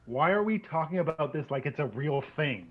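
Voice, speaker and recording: Man acting contemptuous husband, table-read drama, rough husky voice, slightly dull recording. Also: fairly high pitch, animated, a little fast. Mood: irritated, domineering. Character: crude, controlling, contemptuous husband. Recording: dull, muffled lo-fi sound.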